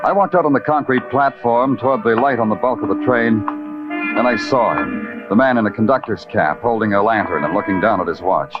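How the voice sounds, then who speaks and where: An actor playing a hard-boiled New York police detective in a radio noir, dry and laconic, with moody narration. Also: male voice, lowish voice, expressive, brisk, music in the background, lightly acted. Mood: wry, moody. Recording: muffled old radio recording.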